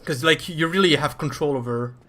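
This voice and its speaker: A man's voice: on the high side, brisk, fairly bright tone, slight background noise. Chatty man with a French accent. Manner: chatty, self-deprecating, laughs often. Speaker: speedrunner. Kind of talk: live commentary over own gameplay.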